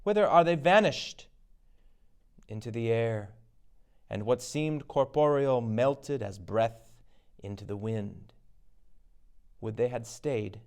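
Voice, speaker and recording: A man performs a dramatic reading of Shakespearean verse. He is theatrical and declamatory, very animated in pitch but slow in pace, with a gravelly creak. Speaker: reader of all parts.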